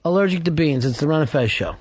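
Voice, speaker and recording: A man's voice: fairly high pitch, expressive, quick pace, background noise. An American man hosting a talk radio show, teasing his crew in loose, rambling banter. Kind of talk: casual radio chat.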